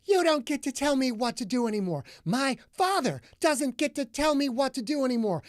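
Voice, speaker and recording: A woman narrator voicing a volatile, bitter young woman who shouts in high, clipped bursts. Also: no echo, clean studio sound.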